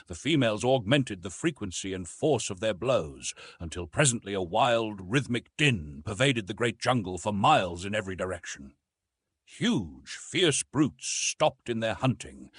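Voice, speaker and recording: A man narrating a classic adventure novel as an audiobook, in a theatrical, measured and emphatic way. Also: lowish voice, a bit bright, husky, dry recording.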